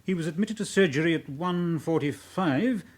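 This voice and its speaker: Formal, measured British hospital doctor played by an actor. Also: male voice, a little high, natural voice. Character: reserved, formal hospital registrar briefing police. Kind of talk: radio drama acting.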